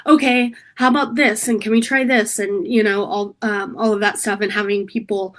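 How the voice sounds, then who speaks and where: A middle-aged woman from California, slightly hoarse from a cold, talking reflectively in a casual interview, with many pauses and restarts. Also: mid-range voice, steady tone, a bit bright. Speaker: interview guest, political staffer.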